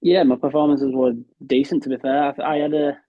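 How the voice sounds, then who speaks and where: A Yorkshire man talks in a relaxed, modest way, often restarting his sentences. His delivery stays fairly flat. The sound is dry and tinny, like a phone line. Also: a little high, brisk, no echo. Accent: Yorkshire English. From Yorkshire, England. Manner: chatty, modest, frequent restarts and "were" for "was". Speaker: professional darts player, guest. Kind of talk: casual podcast interview.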